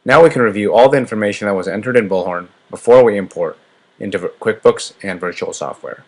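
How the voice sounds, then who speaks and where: Male software demo presenter, low register, husky tone, scripted step-by-step walkthrough delivery. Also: quick pace. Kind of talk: scripted product demo narration.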